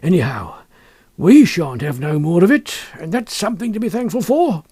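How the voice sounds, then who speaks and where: A man's voice: high voice, expressive, moderate pace, mellow, breathy edge, no echo, voice pushed up. A man voicing a tart, disapproving shopkeeper's wife in an audiobook, blunt and grumbling in colloquial speech. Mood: relieved, disapproving. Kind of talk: audiobook character acting.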